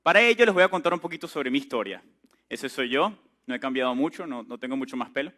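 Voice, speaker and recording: A man's voice: medium pitch, animated, fast talker, sharp tone. A man, giving an entrepreneurship talk to a live audience in a chatty, storytelling way and putting questions to the listeners. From Venezuela. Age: 30s. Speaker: entrepreneur speaker.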